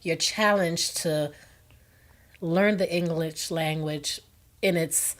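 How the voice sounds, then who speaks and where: A woman's voice: sits low, steady tone, slow pace. A Jamaican woman co-hosting a radio talk show, speaking in an affirming, conversational way. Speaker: co-host.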